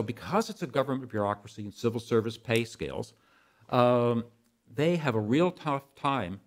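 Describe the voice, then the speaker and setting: Older male policy expert, interview guest, mid-pitched voice, emphatic conversational explainer.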